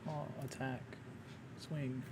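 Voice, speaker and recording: A man's voice: medium pitch, level tone, heavy background noise. A young man running a tabletop game on a stream, casual and profane, often laughing between rulings. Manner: profane, joking, quick to laugh. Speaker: game master.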